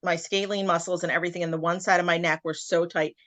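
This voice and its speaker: A woman guest speaks earnestly in a casual video-call interview. Her voice sits lower than most, yet has a bright, forward tone. The sound is dry and close, and she pauses and restarts often. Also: fairly even intonation, no room sound. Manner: halting, many fillers and restarts, earnest. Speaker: guest, occupational therapist and Reiki practitioner.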